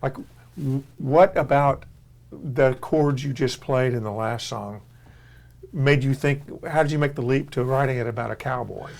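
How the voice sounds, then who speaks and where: A male host interviewing a musician in a friendly, curious way, quick to laugh. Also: medium pitch, vocal fry. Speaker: radio show host. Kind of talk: casual interview chat.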